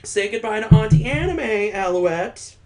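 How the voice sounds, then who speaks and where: A man's voice: high voice, animated, measured pace, darkish tone, faint music behind, voice pushed up. A man voicing a playful, affectionate young mother in a visual novel, fond and a little exasperated. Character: teasing, affectionate young mother, anime woman.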